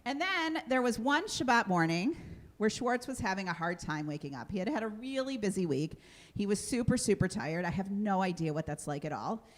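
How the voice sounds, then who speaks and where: A woman rabbi telling a story to her congregation in a conversational, animated way. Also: mid-range voice, a little fast. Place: synagogue sanctuary.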